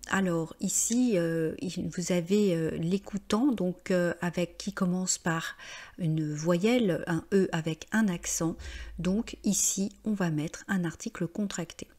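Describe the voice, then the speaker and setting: Slow, patient French teacher woman. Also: lowish voice, a little mellow. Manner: patient, methodical, frequent hesitations. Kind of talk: explaining grammar exercise answers.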